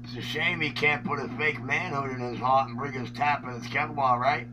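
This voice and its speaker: Male New Yorker, mid pitch, needling radio banter with repeated jabs, clearly noisy transmission. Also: steady tone, moderate pace, distant mic. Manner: mocking, repetitive, profane street talk. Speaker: radio operator chatting on an open channel. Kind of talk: casual argumentative banter.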